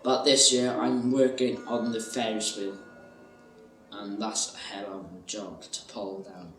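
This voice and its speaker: A man's voice: fairly low pitch, little pitch movement, measured pace. A young man with a South Welsh accent, a fairground worker giving a casual personal account. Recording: roomy, distant, music underneath.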